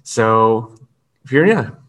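A man hosting a podcast, talking in a hesitant, halting way. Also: on the low side, lively intonation, a bit bright, distant mic. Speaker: podcast host. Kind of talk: casual podcast interview.

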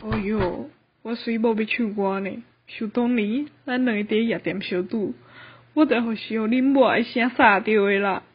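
A woman's voice: on the high side, moderate pace, lightly acted. A young woman with a Taiwanese accent voicing a doting middle-aged husband who sweet-talks his wife in an amateur radio play. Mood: fond, wistful. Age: young adult. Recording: muffled, distant, noisy lo-fi recording.

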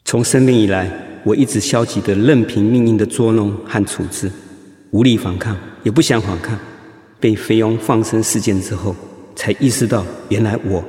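An actor playing a determined, encouraging male cancer patient in a Mandarin radio drama, with a husky voice. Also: lowish voice, fairly even intonation, normal speed, dark tone, no room sound, clean studio sound. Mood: reflective, burdened.

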